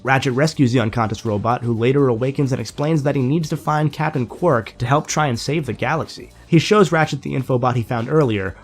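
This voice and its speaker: A young man with a slightly nasal, congested voice, recovering from a sinus infection and narrating a scripted video game review. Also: medium pitch.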